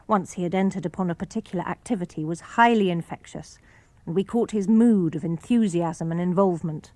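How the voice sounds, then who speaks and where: A woman's voice: mid-range voice, darkish tone, no room sound. Woman with British accent reading letters. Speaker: reader of letters and memoir. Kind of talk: scripted reading for radio.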